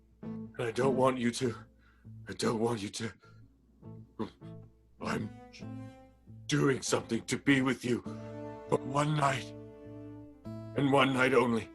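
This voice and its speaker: An actor playing a remorseful, lovesick dog-like werewolf in an improvised radio play, halting and sighing. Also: male voice, mid-range voice, fairly even intonation, a bit bright, faint music behind. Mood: hesitant confession.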